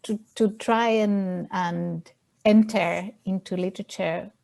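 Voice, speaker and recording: A woman's voice: mid-range voice, fairly even intonation, slow pace, vocal fry, darkish tone. A woman from Argentina, a publisher, speaking in an online panel discussion with many restarts and fillers.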